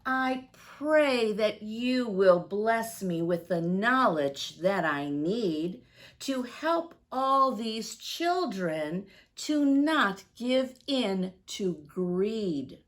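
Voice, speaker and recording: A woman's voice: mid-range voice, animated, drawn-out words. A woman voicing a humble, devout old Santa in a storybook, praying in a reverent, pleading manner. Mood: earnest, reverent.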